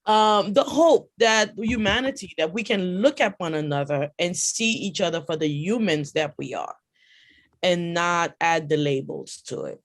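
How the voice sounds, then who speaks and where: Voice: mid-range voice, measured pace. A participant in an online meeting on a video call, reflective and hesitant, with many ums.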